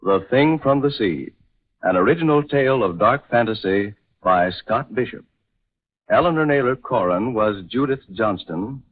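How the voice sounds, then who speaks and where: American man announcing on thin vintage radio. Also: medium pitch. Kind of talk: formal 1940s radio announcing, scripted. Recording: dull, narrow vintage radio recording.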